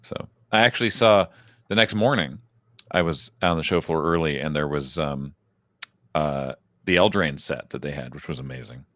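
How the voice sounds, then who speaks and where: A man with a very deep, low voice talks casually on a podcast, wandering through a story with lots of restarts and fillers. The sound is dry and close to the mic. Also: moderate pace, fairly bright tone, no room sound. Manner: rambling, self-interrupting storyteller. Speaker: podcast co-host. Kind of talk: casual recap chat.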